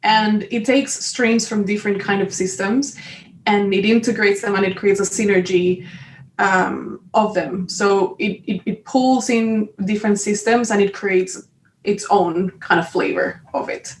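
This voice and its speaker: A woman's voice: medium pitch, steady tone, unhurried, slight background noise. Woman, Colombian Spanish accent, podcast guest, eager and laughing, roomy echo. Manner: enthusiastic, laughs often, many fillers. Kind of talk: casual interview chat. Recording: echoey room, far from mic.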